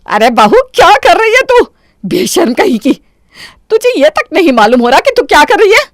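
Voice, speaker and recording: A woman voicing a quick-tempered, berating Indian mother-in-law in a Hindi cartoon story. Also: very high-pitched, sing-song, no echo, clean studio sound. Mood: angry scolding. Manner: harsh, exclamatory, berating.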